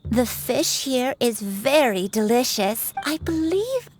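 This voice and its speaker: A woman playing a gentle, dreamy old grandmother in a fantasy game, speaking wistfully. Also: on the high side, very animated. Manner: slow, wistful.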